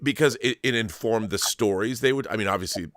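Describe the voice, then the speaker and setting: A man's voice: fairly low pitch, brisk, fairly bright tone, no room sound, clean studio sound. A man hosting a casual interview, talking like an enthusiastic fan and making his points emphatically, often restarting as he goes. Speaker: podcast host, interviewer.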